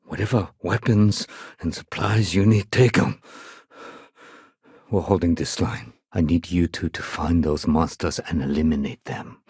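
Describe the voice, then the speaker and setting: Sci-fi game security sergeant: low, dry-recorded voice, weary but dutiful, measured and official. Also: male voice, a little mellow, breathy edge, no room sound, heavily acted. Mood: grave, urgent. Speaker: video game character. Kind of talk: video game dialogue acting.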